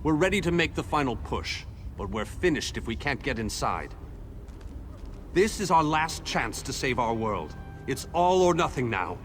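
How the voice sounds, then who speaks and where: A man's voice: mid-range voice, a little hiss or hum. A man voicing an earnest war leader in a video game, solemn and formal. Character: earnest male war leader rallying allies.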